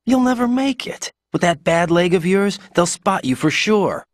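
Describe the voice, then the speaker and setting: A man's voice: high-pitched, lively intonation, moderate pace, no room sound, clean studio sound. An actor playing a young male special-forces operative in a video game cutscene, earnest with clipped reports. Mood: earnest, alert. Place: recording studio. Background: game score music.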